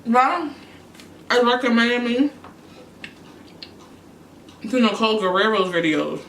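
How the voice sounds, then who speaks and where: A woman's voice: on the high side, lively intonation, slow pace, a bit bright, a little hiss or hum. A young woman from New York chatting casually on her eating show, answering questions between bites. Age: young adult. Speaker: YouTuber. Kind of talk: casual mukbang chat. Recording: echoey room, mic at a distance.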